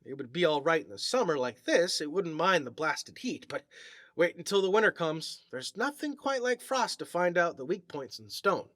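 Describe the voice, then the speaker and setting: A man acting a forceful, blunt, jovial stonemason. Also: fairly high pitch, lively intonation, normal speed, no echo, clean studio sound. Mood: chatty, explaining. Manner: blunt, colloquial, chatty, mild cursing. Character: big sweaty blunt working-class monumental mason, jovial and proud.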